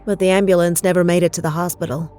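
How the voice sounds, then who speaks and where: An actress playing a calm, conciliatory FBI agent with a measured manner in an audio drama. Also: female voice, fairly low pitch, soft background music, lightly acted. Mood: serious. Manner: measured, reassuring.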